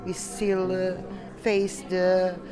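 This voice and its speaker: A woman from Serbia with a Serbian accent, answering interview questions in halting, non-native English as a delegate. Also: lowish voice, monotone, music in the background. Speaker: coeliac association delegate being interviewed.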